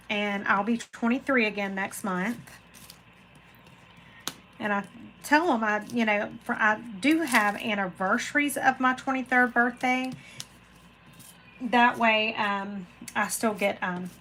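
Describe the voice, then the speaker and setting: Slow, bright-voiced woman. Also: medium pitch, a little hiss or hum, poor audio. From Florida, USA. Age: 40s. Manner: rambling, chatty, talks to viewers by name. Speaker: craft channel host reading live chat. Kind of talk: casual livestream chat.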